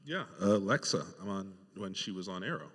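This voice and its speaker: A man chatting casually on a panel as a TV writer, loose and halting, repeating words. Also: low voice, lively intonation, fairly bright tone, slightly rough. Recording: reverberant hall.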